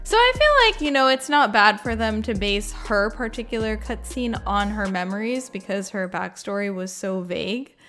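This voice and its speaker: A woman with a medium-pitched voice talks through her reactions in a casual, unscripted way. She sounds like a gaming video creator thinking aloud. Music plays faintly behind her. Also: lively intonation, normal speed, hardly any pauses, a bit bright. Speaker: video game content creator.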